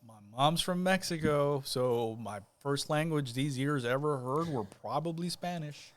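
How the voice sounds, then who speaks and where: A man's voice: fairly high pitch, unhurried. A man talking casually as a guest on a podcast, easygoing and rambling, with plenty of fillers and restarts. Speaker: guest, judicial candidate.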